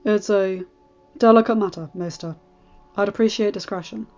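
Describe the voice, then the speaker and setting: A discreet sworn sword asking for a private word, voiced by a woman storyteller. Muffled sound with soft background music. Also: mid-range voice, steady tone, moderate pace, close to an everyday voice. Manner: polite, discreet. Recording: dull audio with faint music.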